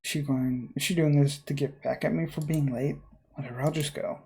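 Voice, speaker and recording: A jittery male real-estate agent, acted by a man mutters his way through a haunted-house game. He is superstitious, exasperated and fearful, with a creaky, mellow voice that sits a little high. Faint music runs behind him. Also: steady tone, a little fast. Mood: annoyed.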